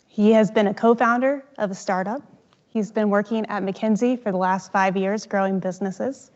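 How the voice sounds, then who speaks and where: A woman co-founder giving an upbeat, emphatic startup pitch on stage. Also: lo-fi sound.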